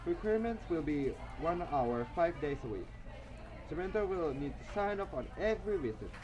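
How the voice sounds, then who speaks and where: A man's voice: on the high side, big pitch swings, normal speed, a little mellow, lo-fi sound, voice pushed up. Man acting strident, scolding schoolmarm, music behind. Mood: cold, formal. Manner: formal, scolding. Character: strident, stern female schoolteacher, indignant and fussy.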